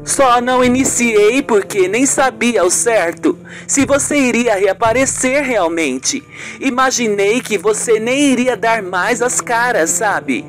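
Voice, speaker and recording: Amateur putting on a high-voiced, chatty woman painter. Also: slow, faint music behind, natural voice. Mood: friendly, animated. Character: chatty, friendly woman painter talking with a girlfriend. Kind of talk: amateur radio soap opera acting.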